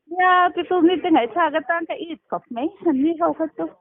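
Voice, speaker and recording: A woman's voice: very high-pitched, fast talker. A woman chatting casually on a phone call, heard over a tinny, narrow telephone line. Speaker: phone caller.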